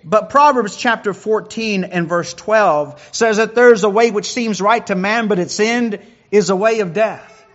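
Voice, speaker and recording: A man's voice: sits high, measured pace, fairly bright tone, dull audio. A man preaching a sermon who often repeats words as he builds a point.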